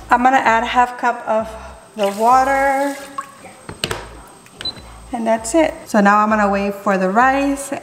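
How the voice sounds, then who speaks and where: A woman in her thirties chatting casually through a cooking vlog, dropping Spanish phrases now and then. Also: fairly high pitch. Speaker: vlogger. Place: large home kitchen. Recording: roomy kitchen echo with background music.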